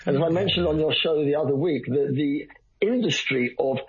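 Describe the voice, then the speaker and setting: A man with a very husky, breathy voice chats as a radio guest. He rambles wryly, full of restarts and fillers. The sound is dull and lo-fi, like a radio broadcast. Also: a little high, brisk. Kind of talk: casual radio conversation.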